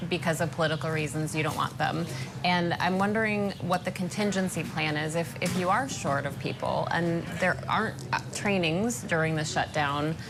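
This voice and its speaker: An American woman journalist putting questions in a news interview, measured and polite but probing. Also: fairly low pitch, noisy background.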